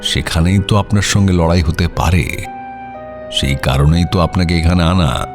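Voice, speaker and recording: A landed gentleman with a notably low, deep voice. He is polite and measured, with a guarded, slightly hesitant unease, in the manner of a radio drama over faint music. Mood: courteous, assured. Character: uneasy, courteous landed gentleman hosting a detective. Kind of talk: Bengali radio audio drama acting.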